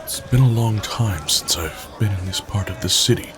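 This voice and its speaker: A man voicing a weary nobleman who hopes to stay unrecognized, speaking in a hushed, wary way. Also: lowish voice, normal speed, over music, pitched above the performer's own voice, close to an everyday voice.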